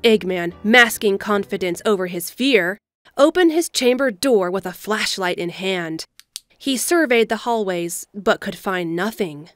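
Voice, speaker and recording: A woman reading scripted story narration in a measured, storybook delivery, as the narrator of an audio drama. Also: mid-range voice, animated, no echo. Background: music.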